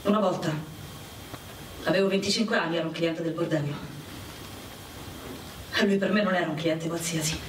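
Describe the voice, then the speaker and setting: An actress playing a proud, worldly wartime prostitute in a radio drama, brash and confiding in a theatrical way. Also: female voice, on the low side, moderate pace, pauses often, close to an everyday voice. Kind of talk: dramatic radio play dialogue. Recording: roomy, distant mic with loud background noise.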